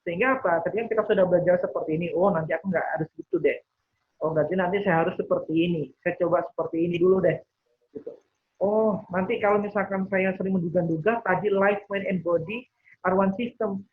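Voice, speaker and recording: A man's voice: high-pitched, fairly even intonation, fast talker. A man teaching interactively as a trainer, explaining, checking that listeners follow and voicing imagined thoughts as examples. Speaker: NLP trainer leading a webinar. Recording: thin phone-like webinar audio.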